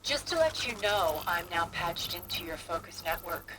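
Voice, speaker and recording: A woman's voice: lowish voice, very animated, moderate pace, background noise. An actress playing a composed, self-assured woman ally speaking in a video game. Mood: cool, dry.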